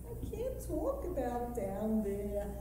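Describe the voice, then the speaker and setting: A woman plays an old lady in her seventies on stage, easily scandalized and reluctant to confide. Her voice is high and swings widely, with very slow, halting delivery. It rings through an echoey, noisy hall. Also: very animated, dark tone, recorded from a distance. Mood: embarrassed, reluctant. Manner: halting, scandalized, emphatic, with asides. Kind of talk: theatrical stage monologue. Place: live stage performance at a university.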